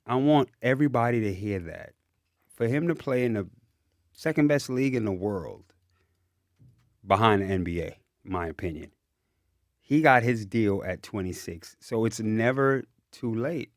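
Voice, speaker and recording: Man, podcast host, emphatic, enthusiastic, lowish voice, dry studio sound. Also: animated, moderate pace, no room sound. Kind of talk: casual podcast chat.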